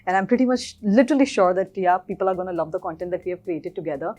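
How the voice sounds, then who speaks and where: A woman's voice: fairly low pitch, very fast, a little mellow. A woman hosting a conversational interview, emphatic and repeating her key phrases. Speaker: talk show host.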